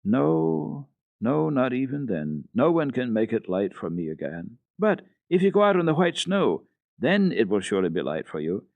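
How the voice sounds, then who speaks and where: A man voicing a gentle, mournful old blind grandmother with a plaintive delivery, in a storybook reading. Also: mid-range voice, very animated, moderate pace, vocal fry, dry recording, phone-quality sound, pitched above the performer's own voice.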